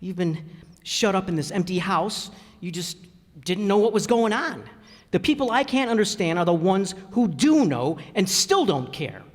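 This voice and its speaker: A man's voice: high voice, expressive. Man playing folksy family man, echoing hall. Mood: earnest, gentle. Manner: halting, folksy, ungrammatical speech. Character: plain-spoken, good-hearted middle-aged family man, a little timid. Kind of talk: old-time radio comedy-drama acting. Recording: reverberant hall stage recording.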